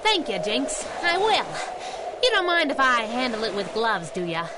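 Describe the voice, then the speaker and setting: A woman's voice: on the high side, very animated, normal speed, very noisy. A woman acting a sassy, menacing masked superheroine in a radio drama, wisecracking and taunting. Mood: teasing.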